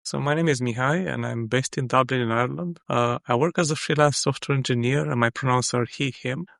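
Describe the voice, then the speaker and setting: A man from Romania, a freelance software engineer, talking as a guest in a casual interview, thoughtful and full of "you know" fillers. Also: mid-range voice, brisk, gravelly, dry recording, clean studio sound.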